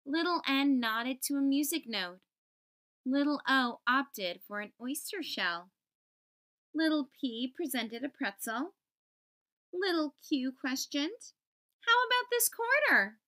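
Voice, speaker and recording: High-voiced woman reading slowly to children. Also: expressive, a bit bright. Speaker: storytime host. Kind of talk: children's picture book read-aloud.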